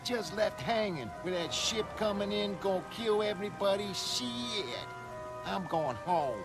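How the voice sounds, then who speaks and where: A man's voice: sits high, animated, unhurried, music in the background, voice pushed up. A man voicing a young, streetwise, grumbling comic-book reader in a motion comic. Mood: disgruntled. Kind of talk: motion comic dramatic acting.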